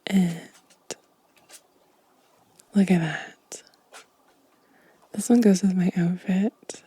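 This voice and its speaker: Slow, breathy whispering woman. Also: on the low side, fairly even intonation. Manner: gentle, unhurried. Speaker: ASMR video creator. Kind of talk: soft-spoken whispered ASMR show and tell.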